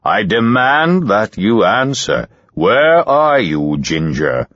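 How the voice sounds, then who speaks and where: A calm, commanding hypnotist, read by a male narrator speaks in soothing, slow, insistent commands from a storybook. His deep voice is husky, and the sound is dry and muffled. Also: no echo, heavily acted. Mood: stern.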